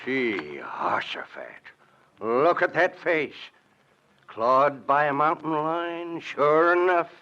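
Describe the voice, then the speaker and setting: An actor playing a comic cowpoke sidekick with a rustic, folksy way of talking, on a lo-fi vintage radio recording. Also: male voice, on the high side, expressive, slow, bright, forceful tone, exaggerated voice. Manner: folksy drawl, rustic grammar, hesitant. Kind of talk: 1940s radio acting.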